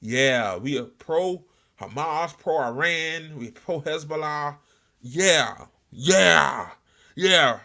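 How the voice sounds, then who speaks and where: A man hosting an informal political talk show, speaking in a blunt, sarcastic, profanity-heavy way with halting repetition. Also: on the high side, lively intonation, creaky, sharp tone. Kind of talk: informal political rant with commentary.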